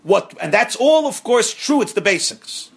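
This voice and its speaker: A rabbi teaching a class on a religious text, earnest and emphatic, who repeats phrases for stress and sprinkles in Hebrew and Yiddish terms. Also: male voice, high voice, sing-song, normal speed, gravelly, a little hiss or hum. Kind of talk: explaining a religious text to students.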